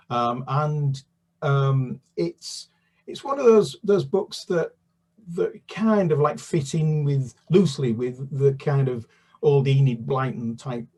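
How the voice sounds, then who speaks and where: A man's voice: mid-range voice, breathy edge, far from the mic. An older man from the UK, a children's author, chatting casually in an interview with many fillers and restarts.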